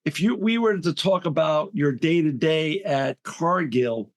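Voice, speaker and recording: A man's voice: fairly high pitch, unhurried. A man hosting a podcast interview who is effusive and polite, with frequent praise for his guest. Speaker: podcast host. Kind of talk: podcast interview and sponsor read.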